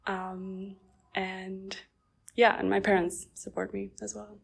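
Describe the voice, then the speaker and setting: A woman in her twenties from Belgium answers interview questions thoughtfully, pausing on ums. Her mid-pitched voice carries a strong gravelly fry. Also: completely flat delivery. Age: 20s. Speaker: exchange student.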